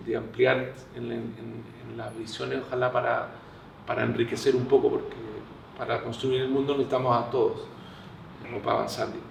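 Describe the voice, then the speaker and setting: A Chilean man with a heavily fried, gravelly voice addresses a public discussion. He is reflective and pauses to find words. The mic is distant, the room is echoey and there is faint noise. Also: mid-range voice, a bit bright. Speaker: audience participant from a community organization.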